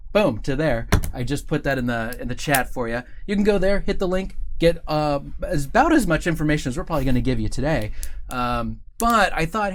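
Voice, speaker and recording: A man hosting a casual livestream, chatting with viewers in an upbeat, informal way. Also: a little high. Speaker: host of a company livestream show. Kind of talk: casual live chat with viewers.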